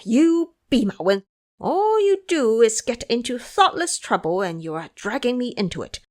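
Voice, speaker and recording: A woman's voice: a little high, very animated, moderate pace, a little mellow. A woman voicing a flustered, scolding male monk master in an audio drama. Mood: panicked. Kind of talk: audio drama character acting.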